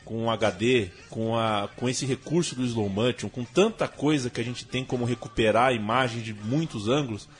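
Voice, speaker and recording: A man's voice: medium pitch, normal speed, hardly any pauses, faint music behind. A man from Brazil hosting a casual sports talk show, thinking aloud and restarting his phrases often. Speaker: host.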